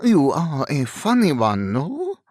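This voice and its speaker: A man voicing a young French highwayman in an audiobook, mocking and brazen, with a grating, put-on gruffness and a French accent. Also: wildly swooping pitch, slow, a little mellow, no room sound, clean studio sound, exaggerated voice. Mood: mocking, amused. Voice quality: grating, artificially deepened voice. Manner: mocking, taunting.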